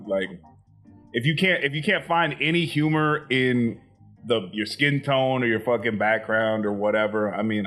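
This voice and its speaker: A man's voice: medium pitch, fairly bright tone. A young man from Florida co-hosting a casual comedy podcast, rambling with fillers and casual swearing. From Florida, USA. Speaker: podcast co-host.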